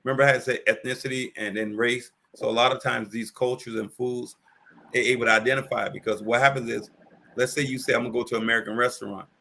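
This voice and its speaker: A man hosting a talk show speaks with a gravelly, forceful timbre. He stumbles at first, then builds out his ideas in casual discussion. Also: medium pitch, steady tone, slight background noise. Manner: halting, restarts then lays out ideas.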